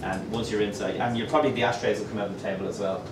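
A middle-aged man hosting a pub crawl, telling jokey stories to a crowd and mimicking people in quick asides. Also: on the low side, steady tone, noisy background. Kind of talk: humorous storytelling to a pub crowd. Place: small crowded pub, indoors. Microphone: far camera, no mic. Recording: roomy pub echo, distant, chatter behind.

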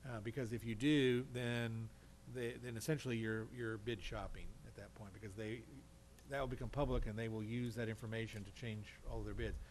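A man's voice: on the low side, fairly even intonation, brisk, slight background noise. A man advising a council in a public meeting, explaining things carefully, step by step.

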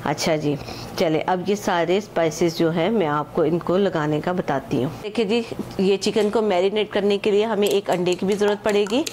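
A woman's voice: on the low side, moderate pace, darkish tone, a little hiss or hum. A woman, explaining a home recipe step by step in a chatty way and repeatedly pointing things out to the viewer. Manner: chatty, repeats "acha ji" and "ye dekhen", mixes English measures into Hindi-Urdu. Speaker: home cooking channel host. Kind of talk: casual step-by-step cooking instruction.

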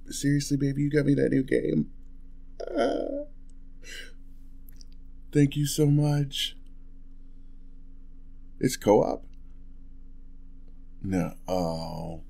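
A man playing an affectionate, playful young husband in an ASMR roleplay, talking softly and tenderly in a casual, hesitant way. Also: medium pitch, creaky, exaggerated voice. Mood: excited, grateful. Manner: soft, hesitant, chuckling, tender. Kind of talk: ASMR boyfriend roleplay, intimate casual chat.

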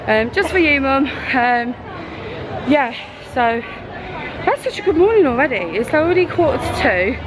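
A high-voiced young woman chats excitedly into a camera at arm's length, a little hoarse from a long day. Loud crowd chatter and constant noise fill the big convention hall behind her. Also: normal speed. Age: young adult. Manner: chatty, excitable, laughing often. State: losing her voice. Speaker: vlogger. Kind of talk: casual vlog chat. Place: large busy convention hall, indoors. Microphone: handheld camera at arm's length.

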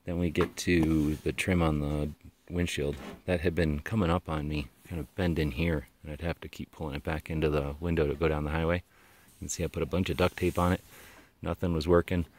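A man giving a relaxed, rambling walkaround tour of his van outdoors, talking into a handheld camera. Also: extremely low pitch, a little fast. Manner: relaxed, rambling, frequent uh fillers. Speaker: vlogger. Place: outdoors in a mountaintop parking lot beside a van. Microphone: handheld action camera. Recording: outdoor camera audio with clear background noise.

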